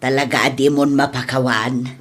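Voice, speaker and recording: A woman playing a frank, teasing older mother-in-law in a radio comedy drama, with an Ilocano accent and expressive interjections. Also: extremely low pitch, normal speed. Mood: sympathetic.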